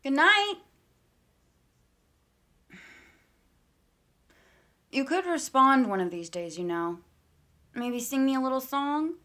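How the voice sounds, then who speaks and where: A woman's voice: high-pitched, big pitch swings, moderate pace, bright, forceful tone, exaggerated voice. A woman playing a guarded, blunt massage therapist in an audio play, speaking firmly and curtly. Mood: lonely, needling. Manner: firm, curt.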